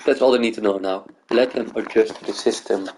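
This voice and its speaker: A man's voice: on the low side, fairly even intonation, brisk, a little mellow, a little hiss or hum, low-quality recording. A man from the Netherlands and Greece, hosting a gaming video and thinking aloud in loose, rambling casual commentary.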